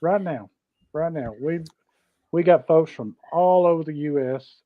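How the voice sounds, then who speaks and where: A man's voice: on the high side, unhurried, no echo. A middle-aged or older man with a Southern US accent, chatting casually as co-host of a livestream in a folksy way, repeating himself and greeting viewers. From Georgia, United States. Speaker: live show co-host. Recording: dull, dry close audio.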